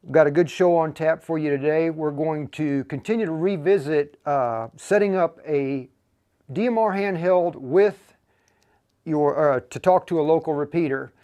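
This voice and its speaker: An American man hosting a livestream in a casual, folksy, informal way, talking in a halting flow. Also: a little high, warm tone, clean tone. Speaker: livestream host for a radio equipment seller.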